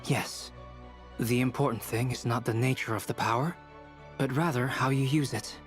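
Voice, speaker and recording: An actor playing a calm male mentor in a video game, measured and instructive in delivery. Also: mid-range voice, close to an everyday voice. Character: calm, authoritative male mentor guiding a companion. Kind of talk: dramatic video game voice acting. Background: faint game music.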